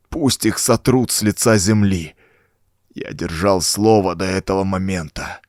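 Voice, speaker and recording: A man's voice: low-pitched, dry recording, studio quality. A man voicing a dying old former cultist, groaning out a desperate, laboured confession for a horror audiobook. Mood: bitter, desperate. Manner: groaning, laboured, dramatic delivery. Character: dying old male renegade cultist confessing in dread and agony. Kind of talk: acted audiobook dialogue.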